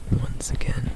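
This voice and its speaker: A man voicing a protective, duty-bound male flame demon in a whispered ASMR roleplay, hushed, measured and dryly sardonic. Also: poor audio.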